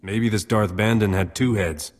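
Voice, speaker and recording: Guy doing cocky space smuggler, lowish voice, flirty and wry, game cutscene, reverby. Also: fairly even intonation, normal speed, close to an everyday voice. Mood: wisecracking. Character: roguish, flirtatious male smuggler captain, wisecracking. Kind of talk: video game cutscene acting. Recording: hall reverb, music behind.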